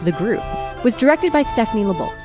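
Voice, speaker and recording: A woman's voice: on the high side, sing-song, fairly quick, music in the background. A woman announcer reading scripted end credits for an audio drama. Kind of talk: scripted narration.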